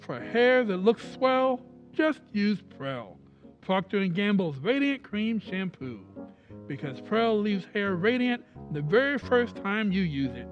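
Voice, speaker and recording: A man acting an enthusiastic 1940s sponsor announcer. His high voice is polished and eager, with slightly lo-fi sound and music around it. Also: sing-song, unhurried. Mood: upbeat selling. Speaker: radio announcer. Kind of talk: 1940s radio announcing and ad reading.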